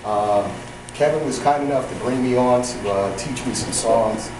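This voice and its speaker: A man from New York City introduces himself softly and hesitantly, with fillers. His voice is at a middle pitch. It echoes in a room and comes from a distance, with noise behind. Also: normal speed. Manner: soft-spoken, hesitant, with fillers. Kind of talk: spoken self-introduction.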